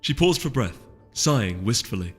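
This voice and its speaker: A man's voice: medium pitch, very animated, normal speed, slightly rough. A man reading a story aloud as narrator, in a scripted storytelling style. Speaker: narrator, fiction reader. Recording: long reverb with music underneath.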